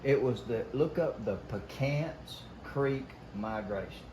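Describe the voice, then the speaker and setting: A man's voice: medium pitch, slow pace. An older man with a Southern US accent telling stories casually in a folksy, emphatic way, quoting old conversations as he goes. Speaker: guest telling hunting stories. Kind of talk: casual storytelling. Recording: noisy, roomy, somewhat distant pickup.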